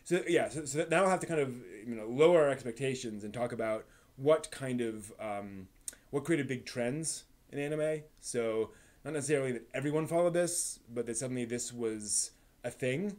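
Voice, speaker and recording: Man thinking aloud, halting and analytical. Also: mid-range voice, a little mellow. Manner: analytical, explanatory. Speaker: live stream host. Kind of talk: casual rambling live stream chat about anime.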